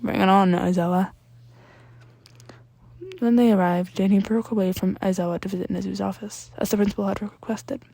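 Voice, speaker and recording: Creaky-voiced woman narrating a story. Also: mid-range voice, steady tone, fast talker, hardly any pauses, mellow, dry recording. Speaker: amateur fan fiction narrator.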